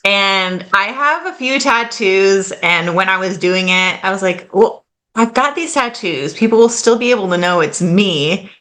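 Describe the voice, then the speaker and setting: Bright-voiced chatty woman in her late twenties. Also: medium pitch, lively intonation, unhurried. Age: late 20s. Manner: chatty, fillers like "like" and "kind of", laughs easily. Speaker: podcast guest. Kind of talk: casual interview chat.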